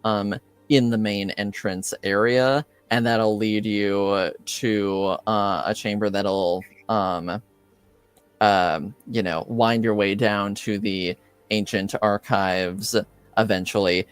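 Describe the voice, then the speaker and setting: A man improvising narration as the game master of a tabletop game, haltingly searching for his words. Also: lowish voice, steady tone, smooth, no echo, faint music behind.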